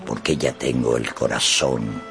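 An actor playing a solemn, chivalrous older knight in a radio drama, with grandiloquent delivery. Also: male voice, a bass voice, fairly even intonation, unhurried, gravelly, full character voice. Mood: solemn, noble. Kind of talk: Spanish-language radio drama acting.

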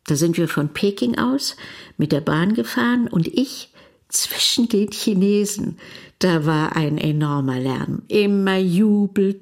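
A woman playing a candid older retired wife in a radio documentary play, plain-spoken and conversational. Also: medium pitch. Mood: reminiscing. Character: candid older retired wife, a grandmother living between cultures.

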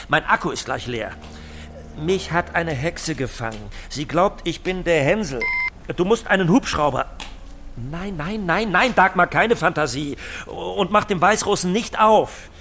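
An orderly, exasperated office man in a radio drama. His voice is on the high side for a man, with clipped, agitated, officious delivery. There is noise in the background. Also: animated, unhurried, a bit bright. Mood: frantic. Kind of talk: German audio drama acting.

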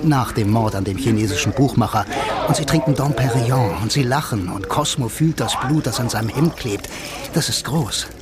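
An actor playing a blind, self-assured bank employee, confiding in the listener with dry irony in a radio drama monologue. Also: male voice, medium pitch, moderate pace, over a noisy crowd, lightly acted. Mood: rapt. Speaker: first-person narrator and lead actor.

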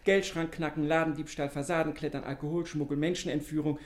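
A meek, superstitious small-time crook , played by a male actor in an old radio satire. His male voice sits fairly high, and he stammers politely and hesitantly, with a wily streak underneath. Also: level tone, quick pace, lightly acted. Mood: nervous, apologetic. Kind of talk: 1950s German radio satire acting.

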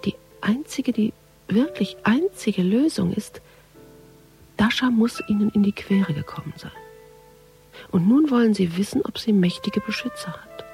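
A woman playing a wry, analytical police detective, thinking aloud in a hushed inner monologue in a radio crime drama. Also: medium pitch, close to an everyday voice.

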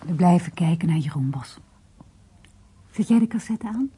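A shy, caring amateur painter in a naturalistic radio play. Her lowish, mellow voice is very breathy, often half-whispered, with a soft, questioning, self-effacing manner. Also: animated, normal speed. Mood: soft, pensive. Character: hesitant, caring woman, a modest amateur painter. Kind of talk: naturalistic radio drama acting.

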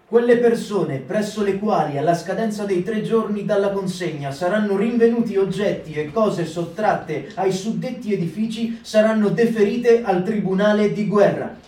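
A man's voice: high-pitched, normal speed, few pauses, warm tone, close to an everyday voice. A man reading as a stern voice of authority. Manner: stern official proclamation. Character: stern adult male voice of occupying authority. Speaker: reader of wartime decrees. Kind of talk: stage reading for radio. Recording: roomy, distant mic, music behind.

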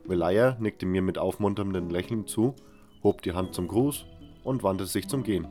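Man as low-voiced brooding convict narrator, music underneath. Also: normal speed, mellow, close to an everyday voice. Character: nameless male convict narrator, wary, stubborn, brooding. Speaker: first-person narrator. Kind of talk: scripted fan audio drama narration.

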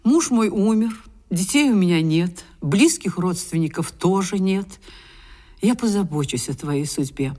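Kindly countess in radio drama, slow talking, very tender and emotional, theatrical. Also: female voice, on the low side, animated, darkish tone. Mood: compassionate, solemn. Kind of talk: Russian radio drama acting.

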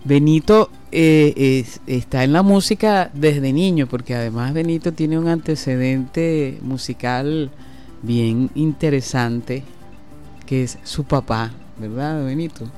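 A man hosting a radio show from a studio, explaining at length and pausing often on fillers. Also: medium pitch, darkish tone, faint music behind. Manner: slow, explanatory, halting with fillers. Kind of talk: radio talk and interview.

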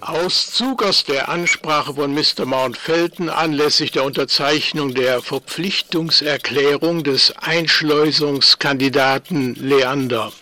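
An actor playing a dry official record keeper in a German radio play, formally reading out a dossier in a clipped way. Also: male voice, fairly high pitch, takes it slowly, hardly any pauses, pushed and bright.

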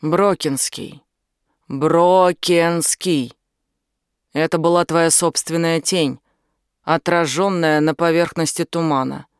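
A woman's voice: sits low, fairly even intonation, slow pace, smooth, no room sound, studio quality. A woman reading an audiobook, voicing a blunt, commanding and protective man who explains things firmly. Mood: calm, explaining. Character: angry, protective British man, outdoorsy and knowledgeable. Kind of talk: audiobook character dialogue.

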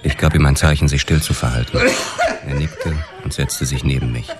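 A detective telling his own noir story for radio. His extremely deep voice gives sober scripted narration, with music clearly behind it. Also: steady tone, brisk. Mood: sober, observant. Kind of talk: scripted first-person noir narration, German radio drama.

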